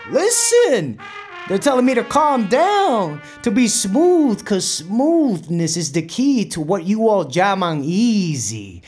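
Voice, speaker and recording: A streetwise Puerto Rican bandleader from El Barrio in an audio play. His voice sits a little high, with a Puerto Rican New York accent. He slips between English and Spanish, playful and reflective as he tells his story. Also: a little mellow, full character voice. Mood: excited, insistent, then philosophical. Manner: Spanglish code-switching, streetwise, conversational storytelling. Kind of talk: dramatic monologue in audio play.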